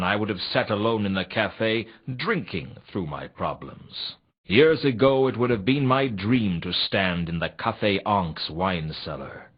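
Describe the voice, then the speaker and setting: Low-voiced, deadpan noir private eye. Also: male voice, breathy edge, exaggerated voice. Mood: wistful, brooding. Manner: deadpan, dry, brooding delivery. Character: world-weary, wisecracking male private investigator, hardboiled noir. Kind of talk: scripted noir detective narration for a video game. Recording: slightly dull, lo-fi game audio.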